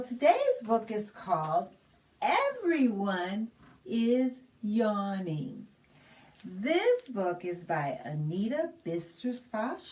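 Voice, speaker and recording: A woman reading a picture book aloud to young children in an expressive sing-song manner, asking the listeners questions, recorded at a distance from the microphone. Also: on the high side. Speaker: children's library storytime reader.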